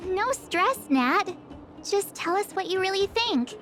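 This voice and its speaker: A woman's voice: very high-pitched, expressive, slow pace, a bit bright, faint music behind, full character voice. A woman voicing an earnest, diligent young girl in a video game. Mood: encouraging.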